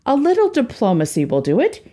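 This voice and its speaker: A woman's voice: on the high side, huge pitch swings, brisk, warm tone, voice pushed up. A woman voicing a genial, formal middle-aged businessman.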